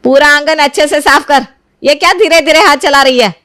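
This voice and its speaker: A high, dry-recorded voice of a bitter older mother-in-law, acted out by a woman. She scolds and orders people about in cartoon moral-story style, accusing and bossy. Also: a little fast, bright, forceful tone, no room sound. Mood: harsh scolding.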